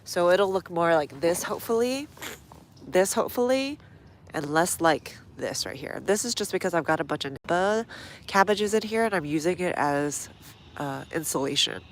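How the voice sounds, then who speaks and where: A low-voiced woman with a stuffy nose, chatting casually to viewers while she works in her outdoor garden. She reads comments aloud and talks through each step as she goes. Faint outdoor ambience sits under her phone-camera audio. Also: lively intonation, normal speed, a little hiss or hum. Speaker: gardening livestream host.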